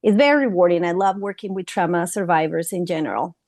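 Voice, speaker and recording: A woman therapist from Colombia with a Colombian Spanish accent, a native Spanish speaker, talking in a halting way with fillers in an interview. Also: on the low side, no room sound, studio quality. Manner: warm, halting, many fillers and restarts. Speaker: trauma therapist guest. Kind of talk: conversational interview.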